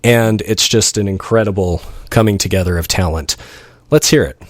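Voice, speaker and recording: Man, radio DJ, slightly deep voice, casual unscripted intros, chatty, enthusiastic, dry close-mic sound. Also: normal speed, no echo. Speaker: radio DJ and show host.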